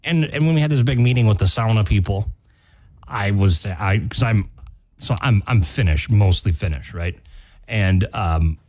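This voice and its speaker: A man's voice: on the low side, moderate pace, darkish tone. A man with an American accent co-hosting a morning radio show, chatting casually in a rambling way and often correcting himself mid-sentence. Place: radio studio.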